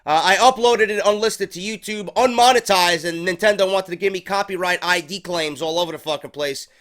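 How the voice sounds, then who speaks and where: A man's voice: high-pitched, fairly quick, a bit bright. Congested man hosting a wrestling review. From New York. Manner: profane, rambling, unscripted. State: congested head cold. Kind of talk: casual spoken show review.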